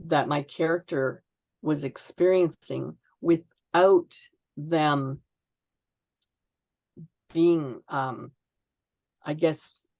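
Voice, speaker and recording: Low, creaky-voiced woman, slow and reflective. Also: a little mellow, no echo. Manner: reflective, halting, pauses to find words. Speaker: author being interviewed. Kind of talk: conversational interview answers.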